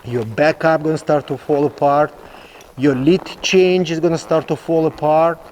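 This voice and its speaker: Horse trainer, higher-pitched man. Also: steady tone, a little mellow, slight background noise. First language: not English. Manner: emphatic, repetitive phrasing, halting non-native English. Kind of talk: instructional demonstration, explaining.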